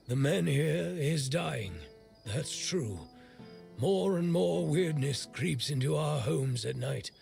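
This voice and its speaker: An actor playing a gruff, irreverent old smith in a video game, with a gravelly voice and a blunt, sardonic way of talking. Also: male voice, on the high side, a little mellow, exaggerated voice. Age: older. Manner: blunt, foul-mouthed, sardonic. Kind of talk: video game character dialogue.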